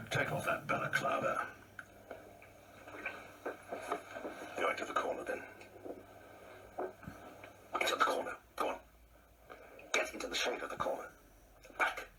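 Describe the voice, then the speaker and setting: An actor playing a pompous, insecure old English husband in an absurdist radio play, irritable, domineering and halting as he repeats his words. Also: a little high, recorded from a distance, background noise. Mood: bullying, menacing. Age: older.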